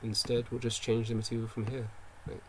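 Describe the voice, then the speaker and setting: A man's voice: mid-range voice, monotone, fairly quick, a little hiss or hum. A man developing a game on stream, thinking aloud as he works, muttering to himself and constantly correcting and second-guessing himself. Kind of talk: thinking aloud while working.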